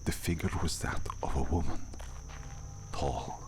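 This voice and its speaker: A man playing a courteous, brooding vampire count in an ASMR audio drama, speaking formally in a gravelly near-whisper. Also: extremely low pitch. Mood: storytelling, wistful. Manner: formal, slow, measured speech. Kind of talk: ASMR roleplay acting. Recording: noisy, night crickets and outdoor ambience.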